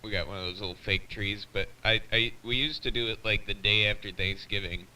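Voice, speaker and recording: A man's voice: on the low side, fairly even intonation, a little fast, bright, forceful tone. A man chatting casually over CB radio in a plain-spoken, slightly grumbling way, with a distant, hissy sound. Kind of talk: casual chat. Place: on a CB radio live feed.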